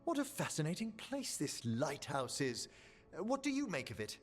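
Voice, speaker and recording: A man's voice: on the high side, wildly swooping pitch, normal speed, soft background music. A man voicing a courteous, scholarly older necromancer professor in a video game, speaking politely and formally. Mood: gracious.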